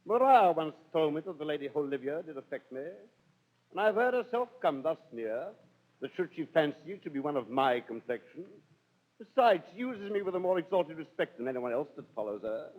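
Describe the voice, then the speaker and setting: An actor playing a pompous, self-admiring, ambitious chief butler in a 1930s radio Shakespeare soliloquy. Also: male voice, fairly high pitch, big pitch swings, brisk. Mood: smug, musing. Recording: dull old radio recording.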